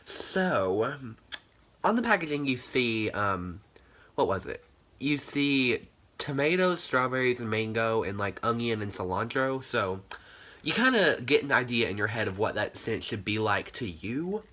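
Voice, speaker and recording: A man's voice: medium pitch, sing-song, a little slow, sharp tone. A young man with a stuffy, nasal voice from congestion, chatting casually and filled with "like" as he reviews wax melts on his channel. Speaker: candle channel host. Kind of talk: casual product review vlog.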